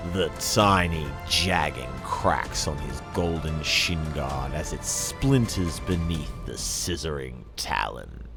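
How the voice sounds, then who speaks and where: A man reading a dramatic story as scripted narration, with a theatrical, savouring delivery and weighty pauses. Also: low-pitched. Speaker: audiobook-style reader. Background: music.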